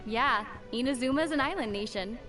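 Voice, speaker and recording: A woman's voice: a little high, normal speed, music in the background, natural voice. A woman voicing a cheerful, chatty young woman with a playful storytelling manner in a video game. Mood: explaining.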